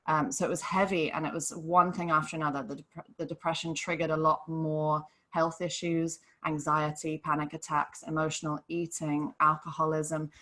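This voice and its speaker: A young adult woman from Hong Kong, a depression coach speaking on a live online panel in an earnest, gentle, explanatory way. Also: low-pitched.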